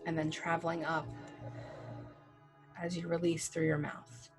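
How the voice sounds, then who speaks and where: A woman leading a guided breathing meditation, speaking slowly and gently with long pauses and casual fillers. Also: low-pitched, level tone. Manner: slow, gentle, soothing instructions with long pauses. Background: soft background music.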